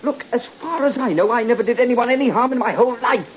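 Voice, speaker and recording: A man's voice: very high voice, fast talker. An actor playing a despairing, hesitant man crushed by his troubles, in old-time radio drama style. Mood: desperate. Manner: hesitant, stammering, pleading. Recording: muffled, noisy old radio recording.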